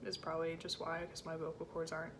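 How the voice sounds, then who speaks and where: A woman with a low-set voice giving an informal music rehearsal lesson as a choir section leader, in a casual, encouraging way with hesitant phrasing. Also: monotone, fast, fairly bright tone, a little hiss or hum. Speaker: choir section leader teaching alto parts.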